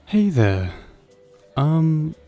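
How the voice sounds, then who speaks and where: A man's voice: on the high side, big pitch swings, drawn-out words, dark tone, faint music behind, exaggerated voice. A man playing an awkward, wistful young old friend in an audio roleplay, talking hesitantly and rambling in a self-deprecating way. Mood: friendly, awkward. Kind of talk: audio roleplay, conversational acting addressed to listener.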